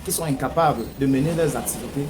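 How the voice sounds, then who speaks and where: Man, Cameroonian French accent, medium pitch, emphatic rhetorical delivery, spoken political commentary, background noise. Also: quick pace. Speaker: news commentator.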